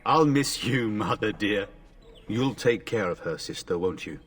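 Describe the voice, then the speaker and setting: Low-voiced teasing young nobleman. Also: expressive, a little slow, recorded from a distance. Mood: affectionate teasing. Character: young nobleman, teasing affectionate elder brother.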